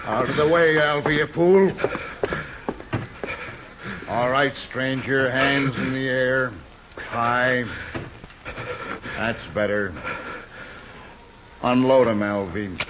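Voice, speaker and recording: An actor playing a burly, menacing outlaw boss, gruff and bullying, in a 1950s radio western. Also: male voice, mid-range voice, slow pace, pauses often, close to an everyday voice. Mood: commanding, threatening. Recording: muffled, hissy 1950s radio recording.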